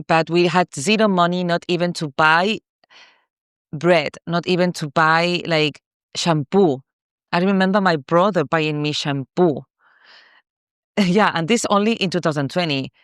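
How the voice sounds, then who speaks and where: A woman in her thirties, from Morocco, shares her own story in a masterclass, talking candidly and looping back over her words with plenty of fillers. Her voice is smooth and resonant. The recording is dry and close, with no room sound. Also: lowish voice, a little slow, no echo. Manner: candid, repetitive, with many "like" fillers. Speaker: spiritual coach.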